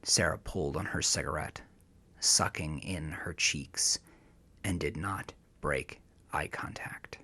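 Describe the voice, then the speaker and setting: A man narrating a dramatic scripted story with an intense, theatrical manner that moves between hushed and forceful. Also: rumbling low, slow, husky, in a whisper.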